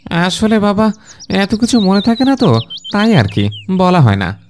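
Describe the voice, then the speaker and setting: A woman's voice: mid-range voice, very animated, measured pace, darkish tone. A woman playing an easygoing young parent who makes casual, deferential excuses to an in-law in a cartoon. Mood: sheepish, defensive. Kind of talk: Islamic moral cartoon dialogue. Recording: background noise with chirping birds.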